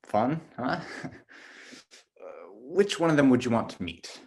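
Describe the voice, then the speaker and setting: A young man acting on stage as an offhand, quietly morbid dating partner, speaking in a casual, halting way. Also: medium pitch, big pitch swings, gravelly. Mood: playful, dry. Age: young adult. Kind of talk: student stage acting, naturalistic dialogue.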